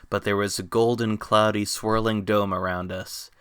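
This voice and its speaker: A man's voice: fairly low pitch, level tone, normal speed, fairly bright tone, clean tone, no echo, studio quality. A man giving a dramatic scripted narration of a fantasy story. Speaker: narrator, fan fiction reader.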